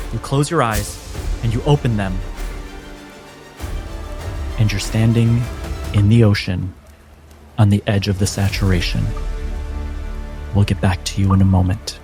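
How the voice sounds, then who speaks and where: Low-voiced man narrating over music. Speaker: game master. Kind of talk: cinematic narration of a tabletop game. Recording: music behind the voice. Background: background music.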